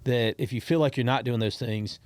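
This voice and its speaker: A man's voice: mid-range voice, fairly quick, dry recording. A man hosting a podcast in casual conversation. He rambles with frequent restarts and repeated words, and speaks encouragingly to his listeners. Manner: encouraging, talks straight to listeners. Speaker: podcast host.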